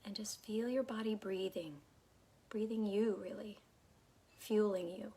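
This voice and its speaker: A woman leading a guided meditation in a calm, unhurried, soothing way, her soft phrases trailing off into breathiness. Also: medium pitch. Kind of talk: guided meditation, gentle instruction.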